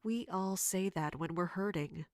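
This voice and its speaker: A woman playing a wounded, caring but guarded royal guard captain in an audio drama, speaking earnestly and haltingly. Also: lowish voice, darkish tone, dry recording, studio quality. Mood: gentle concern. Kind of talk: dramatic audio fiction acting.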